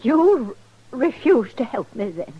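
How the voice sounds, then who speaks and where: A woman's voice: on the high side, sing-song, a little slow, dark tone, husky, dull audio, heavily acted. A woman playing a haughty elderly society widow, formal, demanding and indignant with clipped diction, in a radio western. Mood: imperious. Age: older. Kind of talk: old-time radio western acting.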